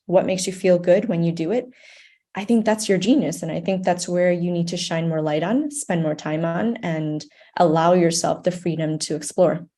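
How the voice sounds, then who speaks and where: Mellow, low-pitched American woman. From United States, grew up in Ohio. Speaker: podcast guest, nonprofit board member. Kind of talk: reflective conversational interview.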